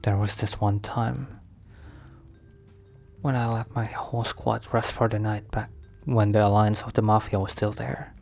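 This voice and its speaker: A guarded but softening mafia boss , played by a man in an intimate ASMR roleplay. His voice is low and full of gravelly fry, confiding and slow, turning breathy at times. Soft music plays behind him. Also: little pitch movement. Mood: somber, worried.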